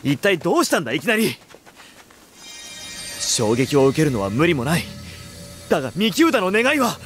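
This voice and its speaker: A man's voice: fairly high pitch, big pitch swings, normal speed, a bit bright, faint music behind. An actor playing a righteous, protective young hero in a video game, blunt and urgent in his delivery. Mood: concerned. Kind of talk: Japanese video game voice acting.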